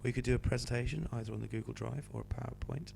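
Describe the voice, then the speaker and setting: A male teacher with a cold, hoarse and croaky, patiently talking students through a homework sheet. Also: mid-range voice, fairly even intonation, rapid-fire, darkish tone, slight background noise. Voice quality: croaky, slips into whispery breaks. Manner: patient, explaining step by step. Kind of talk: homework help walkthrough.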